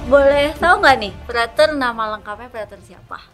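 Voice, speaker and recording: A woman's voice: a little high, animated, normal speed, a bit bright, faint music behind. A woman with an Indonesian accent hosting a podcast in an informal, chatty interviewing style. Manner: informal, conversational, reacts with short acknowledgements. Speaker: podcast host.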